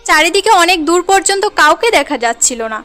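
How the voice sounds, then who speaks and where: Casting: female cartoon story narrator, very high voice, dramatic scripted delivery. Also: animated, quick pace, sharp tone, soft background music.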